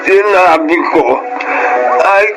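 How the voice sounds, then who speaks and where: A man with a Filipino accent acting a stubborn, irritable old father with a creaky voice in a radio drama. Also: sits high, slow pace, heavily acted, poor audio. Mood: stubborn, cranky. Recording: loud music under studio drama voice.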